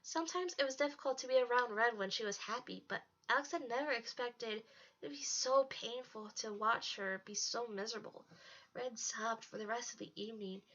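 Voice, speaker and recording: A woman's voice: on the high side, fairly quick, fairly bright tone. A woman narrating a storybook as a read-aloud. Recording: dull lo-fi home recording.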